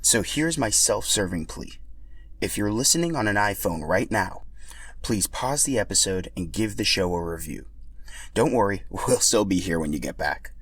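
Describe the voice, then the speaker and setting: A man's voice: lowish voice, expressive, moderate pace, fairly bright tone, breathy edge. A man hosting a podcast and reading scripted narration as a storyteller.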